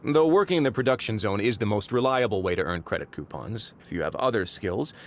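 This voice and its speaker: An actor playing a composed male prison warden in a game, with a measured, dry and authoritative way of talking. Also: on the low side, lively intonation, slight background noise. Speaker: prison administrator. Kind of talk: game voice acting. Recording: studio game audio with faint music.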